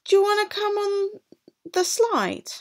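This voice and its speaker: A woman's voice: very high-pitched, big pitch swings, a little slow, pitched above the performer's own voice, exaggerated voice. A woman voicing a bored, lonely, timid young boy in a storybook, plaintive and wide-eyed. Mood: wistful, amazed. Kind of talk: storybook read-aloud.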